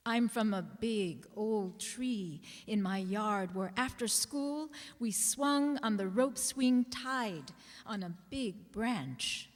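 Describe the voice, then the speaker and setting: Woman for live poetry reading: unhurried, measured, fairly high voice, hall reverb. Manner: slow, measured delivery with deliberate pauses. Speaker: actor reading others' writing aloud. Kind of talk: dramatic reading of personal poems.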